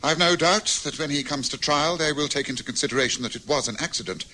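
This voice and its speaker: A man with an English accent acting a calm great detective in a radio drama, speaking in a measured, grave way. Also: on the high side, a little hiss or hum.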